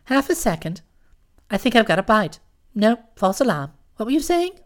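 A woman's voice: fairly high pitch, big pitch swings, moderate pace, pitched below the performer's own voice. A woman voicing a breezy, scheming young woman. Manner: breezy, matter-of-fact, teasing.

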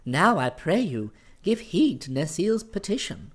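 A man's voice: on the high side, big pitch swings, moderate pace, fairly bright tone, voice pushed up. A man voicing a gracious, playful female queen of the forest nymphs in a storybook, with a light, courtly manner. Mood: gleeful.

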